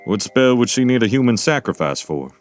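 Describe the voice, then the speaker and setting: An actor playing a shrewd, rustic, plain-spoken man in an audio drama, steady in manner. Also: medium pitch, brisk, faint music behind, lightly acted. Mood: probing. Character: folksy, shrewd, plain-talking male companion named Silas. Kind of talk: dramatic audio fiction acting.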